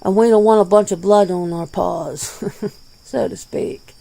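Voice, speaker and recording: Woman playing a slow, creaky, laid-back werewolf. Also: mid-range voice, mellow, a little hiss or hum. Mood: easygoing, earnest. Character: laid-back, plain-spoken werewolf being interviewed, peace-minded. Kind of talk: ASMR roleplay, improvised interview answers.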